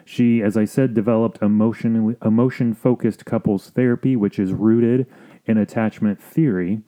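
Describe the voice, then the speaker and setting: A man with an American accent hosting an explanatory podcast as a counselor, talking in a measured, earnest way with pauses. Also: on the low side, steady tone, dark tone. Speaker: podcast host and family counselor.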